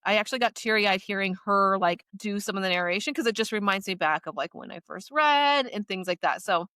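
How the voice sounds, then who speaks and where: A woman's voice: medium pitch, a little fast, pushed and bright, smooth, no room sound, studio quality. A woman co-hosting a podcast in casual conversation, acting out her reactions as quotes as she talks. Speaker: podcast co-host. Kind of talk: casual chat discussing books and audiobooks.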